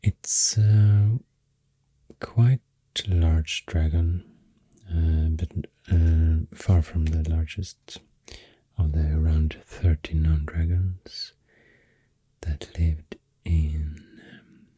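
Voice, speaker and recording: A man with a Swedish accent speaking in a deep, soft, half-whispered ASMR ramble, halting and correcting himself, close to the microphone. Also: breathy edge, no room sound. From Sweden. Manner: hesitant, slow, halting, self-correcting. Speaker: ASMR artist.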